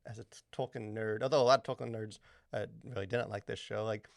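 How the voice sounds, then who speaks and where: A man's voice: lowish voice, steady tone, brisk, gravelly, no room sound, studio quality. A man hosting a podcast in casual chat, enthusiastic and full of hesitant fillers. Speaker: podcast host.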